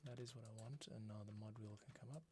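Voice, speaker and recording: A man's voice: fairly low pitch, steady tone, fast, noisy background. A man thinking aloud as he works through composing on a livestream, muttering to himself, with music playing and computer keys clicking. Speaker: composer streaming his process. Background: orchestral music playback, computer keys clicking.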